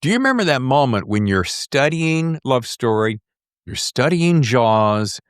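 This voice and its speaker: A man's voice: mid-range voice, very animated, measured pace, clean tone, dry recording, clean studio sound. A man in his sixties hosting a podcast, asking questions in a casual, enthusiastic, dramatizing way. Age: 60s. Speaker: podcast host. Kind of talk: casual, animated interviewing.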